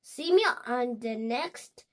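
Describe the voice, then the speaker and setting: A woman's voice: fairly high pitch, lively intonation, slow. A young female presenter introducing and reading a children's story aloud for a story channel.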